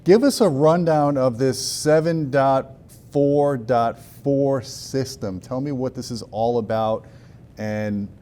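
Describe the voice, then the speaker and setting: A man's voice: mid-range voice, takes it slowly, dark tone. A man in his forties hosting a casual trade show interview in a large hotel demo room, on a lapel mic. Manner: casual, upbeat questions.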